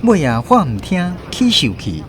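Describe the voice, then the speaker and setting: Radio drama narrator: Taiwanese accent, lively swooping intonation, slightly high voice, expressive literary recollection of childhood. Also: male voice, moderate pace, slight background noise. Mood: wistful, dramatic. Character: grown narrator recalling a childhood train ride with mother.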